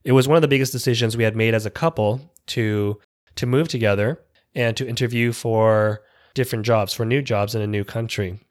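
A man's voice: mid-range voice, a little fast. A man, an educator giving an earnest, reflective personal speech with small restarts. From Canada. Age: 30s.

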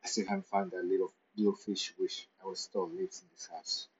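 A man's voice: deep voice, little pitch movement, normal speed, dull audio, slight background noise. A man narrating a playful, rambling story in the first person from his pet's point of view. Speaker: pet owner narrating.

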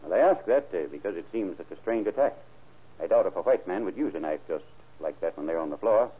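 An actor playing a brisk, probing male sheriff in a businesslike old-time radio crime drama, clipped in his questioning. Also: low-pitched, animated, breathy edge. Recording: muffled, band-limited vintage radio recording.

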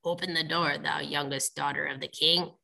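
Lady doing a talking frog from a fairy tale, low n quiet, solemn, kinda pleading, old-timey formal talk. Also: fairly even intonation, creaky, heavily acted, rough recording. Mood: pleading, insistent. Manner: measured, formal old-fashioned phrasing. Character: talking male frog, solemn, plaintive and bargaining. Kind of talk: storybook character voice, archaic speech.

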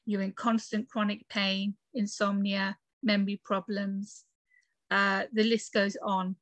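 A woman's voice: medium pitch, flat delivery, unhurried, a bit bright, no echo. A British woman in her forties from the UK, chatting casually as an interview guest in her bedroom office, with lots of fillers and laughs. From United Kingdom. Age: 40s. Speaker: guest author and podcaster.

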